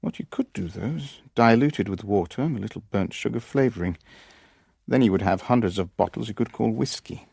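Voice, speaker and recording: A man's voice: on the low side, lively intonation, a little fast, vocal fry, darkish tone, slightly rough, dry recording, full character voice. An actor playing a pragmatic, sceptical businessman in a radio drama, with a dry, understated way of talking. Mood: wry, candid. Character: pragmatic, wry male businessman, sceptical and worldly. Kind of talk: radio drama dialogue and first-person narration.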